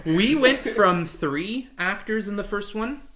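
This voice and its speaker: A man's voice: high-pitched, expressive, slow, over a noisy crowd. A man hosting a casual chat, telling stories in a jokey way, often laughing and swearing freely. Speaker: podcast host. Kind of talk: casual chat, storytelling among friends.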